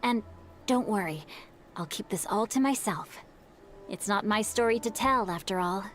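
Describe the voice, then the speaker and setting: A woman voicing a gentle, bookish young noble boy in a video game, polite and eloquent. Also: medium pitch, moderate pace, a little hiss or hum, close to an everyday voice. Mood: reassuring. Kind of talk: video game character dialogue. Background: faint music.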